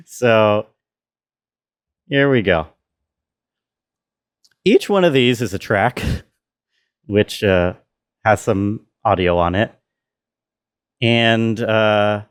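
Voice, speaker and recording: A man giving a casual behind-the-scenes walkthrough as a sound designer, informal and easygoing. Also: medium pitch. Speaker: sound designer of an audio drama. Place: indoor room with air conditioner running. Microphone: self-recording.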